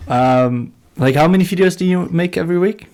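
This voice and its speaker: A man's voice: sits high, a little fast, a little mellow. A Dutch man, a skate shop owner and guest, chatting casually in English with hesitant, self-deprecating talk. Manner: hesitant, many fillers and restarts, self-deprecating. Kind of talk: casual podcast chat.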